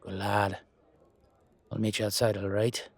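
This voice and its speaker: A man's voice: lowish voice, little pitch movement, measured pace, voice pushed down. A man voicing a level-headed leprechaun peacemaker with matey, colloquial speech in an audio roleplay. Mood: approving.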